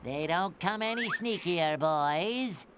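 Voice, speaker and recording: A woman's voice: lowish voice, lively intonation, slow, a crowd in the background, noisy background. A woman voicing a sly, boastful thieving hermit creature in a cartoon video game, played theatrically. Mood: smug. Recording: music behind the voice.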